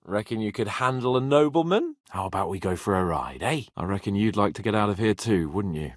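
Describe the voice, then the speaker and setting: A man playing a spoiled, cocky young nobleman in a video game, flippant and theatrical, swinging between whiny and wry, with a husky voice. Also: lowish voice, lively intonation, a little fast, no room sound, clean studio sound. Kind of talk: video game character voice acting.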